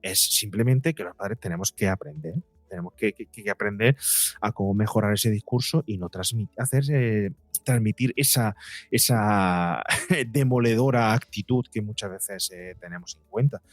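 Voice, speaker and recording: A man with a fairly low voice talks as a psychologist being interviewed. He explains things conversationally and tends to act out imagined remarks as examples. The sound is dry and close, with faint music behind him. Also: normal speed, no room sound. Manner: acts out imagined quotes to illustrate points. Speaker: guest psychologist and podcaster.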